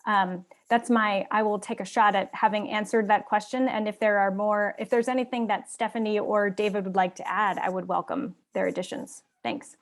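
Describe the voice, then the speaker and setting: A woman who manages an exchange program, answering a question on a webinar panel with hesitant pauses and many ums. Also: medium pitch, fairly even intonation. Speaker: civil service program manager on a career panel.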